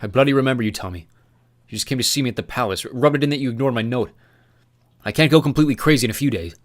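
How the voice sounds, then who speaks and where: A man's voice: mid-range voice, quick pace, lightly acted. A man voicing a furious young man sliding into madness, ranting and screaming bitter accusations. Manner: screaming, ranting, spitting rage.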